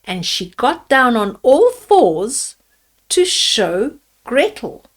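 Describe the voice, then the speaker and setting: A woman storyteller reading a fairy tale aloud to children, deliberately, with simple asides to her young listeners. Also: a little high. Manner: deliberate, addresses children with simple questions and comments.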